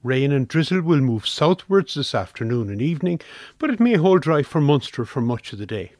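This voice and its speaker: A man's voice: mid-range voice, sing-song, brisk, a little mellow, dry recording, studio quality. An Irish man reading a scripted weather forecast for community radio.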